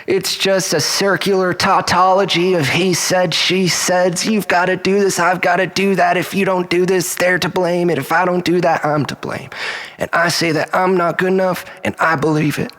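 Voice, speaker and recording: Rambling, unhinged storyteller acted by a man, higher-pitched voice. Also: flat delivery, normal speed, fairly bright tone. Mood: brooding, rambling. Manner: rambling, mock-philosophical, preacher-like repetition, swearing. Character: unhinged, self-loathing male storyteller pleading with his lover. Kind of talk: rambling dramatic monologue.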